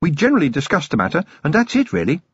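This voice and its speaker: A man's voice: a little high, wildly swooping pitch, racing, husky, no echo, lo-fi sound, voice pushed up, full character voice. A man voicing a genteel noblewoman with a refined, gushing upper-class lilt. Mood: fond. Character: genteel noblewoman, warm and affectionate.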